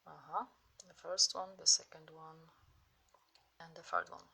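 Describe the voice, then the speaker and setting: Slow, gravelly woman with a Polish accent. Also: low-pitched, fairly even intonation, sharp tone. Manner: slow, step-by-step instructions while clicking. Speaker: software tutorial host. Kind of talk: screen-recorded software tutorial.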